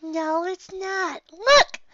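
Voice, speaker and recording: A woman voicing a boisterous, playful little girl pony in a squeaky, excitable, shouting voice for a story. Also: extremely slow, no echo, lo-fi sound, heavily acted. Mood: coaxing.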